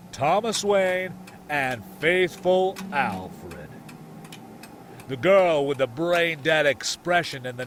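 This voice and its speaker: Guy doing smug gossipy mayor, middle-aged man, drawn out and highish, motion comic. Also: a bit bright, slight background noise, full character voice.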